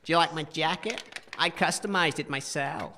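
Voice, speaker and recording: A man playing an eccentric, friendly junk-collecting wanderer in a comic audio drama, affable and quietly proud as he rambles. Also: fairly high pitch, normal speed, a little hiss or hum.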